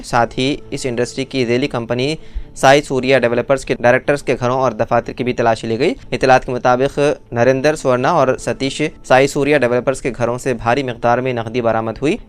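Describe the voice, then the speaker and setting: Male news presenter, mid-pitched voice, scripted narration, formal bulletin delivery. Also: clear, resonant tone.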